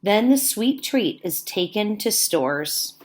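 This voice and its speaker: A woman teacher reading aloud to young children in simple, deliberate phrasing, pausing to explain as she goes. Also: mid-range voice, animated. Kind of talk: read-aloud lesson with explanatory asides.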